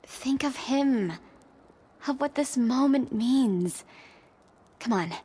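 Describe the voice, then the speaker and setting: A woman's voice: high voice, animated, slow pace, mellow, slightly rough, exaggerated voice. A woman playing a devout cult follower in a video game, instructing with serene, entranced intensity. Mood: serene, insistent. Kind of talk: video game cutscene acting.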